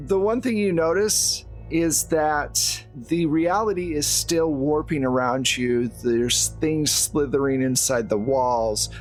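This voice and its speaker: A man acting as game master, narrating a tabletop horror game. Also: medium pitch. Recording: music behind the voice. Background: music.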